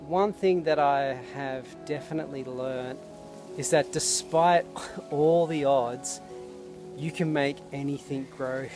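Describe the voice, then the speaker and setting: A man talking casually and earnestly to camera outdoors, reflecting with enthusiasm. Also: medium pitch. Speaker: vlogger and permaculture intern. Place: outdoors at a desert garden site. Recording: loud music behind the voice.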